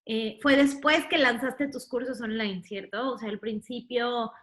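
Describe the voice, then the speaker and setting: A woman hosts a podcast interview in a high voice with a bright, crisp edge. She asks friendly leading questions and affirms what her guest says. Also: steady tone, a little slow. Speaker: podcast host.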